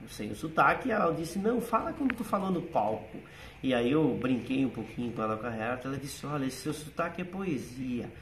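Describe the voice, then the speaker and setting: A man's voice: medium pitch, a bit bright, slight background noise. A middle-aged man with a Rio Grande do Sul accent touched by German settler speech, telling stories reflectively in an interview. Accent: Rio Grande do Sul Portuguese with a German-settler lilt. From southern Brazil. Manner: reflective, nostalgic storytelling with frequent "né". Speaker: guest, theater writer and actor. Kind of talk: casual conversational interview.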